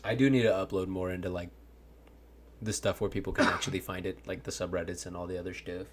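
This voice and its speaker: Low-voiced young man, rambling and earnest. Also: little pitch movement, fast talker. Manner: rambling, earnest, swears often, laughs a lot. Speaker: content creator. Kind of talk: casual chat.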